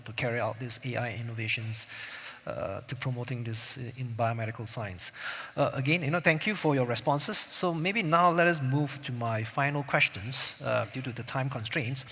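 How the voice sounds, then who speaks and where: Male moderator, husky voice, courteous and brisk, hall reverb. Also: mid-range voice, fairly bright tone. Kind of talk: panel discussion. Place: conference hall.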